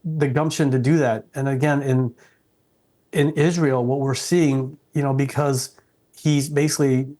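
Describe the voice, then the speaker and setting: Casting: middle-aged Vietnamese-born man, earnest interview guest with a level, flat tone. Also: medium pitch, unhurried. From South Vietnam. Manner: earnest, respectful, frequent restarts.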